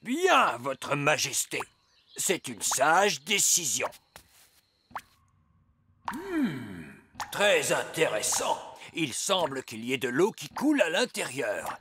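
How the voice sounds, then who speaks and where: A man's voice: on the high side, huge pitch swings, sharp tone, a little hiss or hum, heavily acted. A man voicing a pompous, self-assured old wise elf in a cartoon. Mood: know-it-all. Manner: pompous, deliberate.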